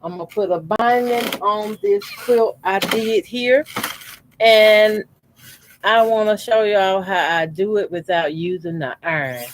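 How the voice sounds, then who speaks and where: A man in his fifties, a quilting channel host, calmly talking through a how-to demonstration at his sewing machine with his voice deliberately kept low. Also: slight background noise. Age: 50s. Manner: talks unhurriedly while sewing, deliberately keeping his voice lowered.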